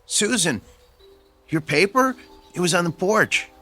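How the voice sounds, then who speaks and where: A man's voice: high voice, expressive, measured pace, bright, forceful tone, soft background music, exaggerated voice. An actor playing an awkward, lovesick male neighbour in an audio drama, hesitant and stammering. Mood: nervous, awkward.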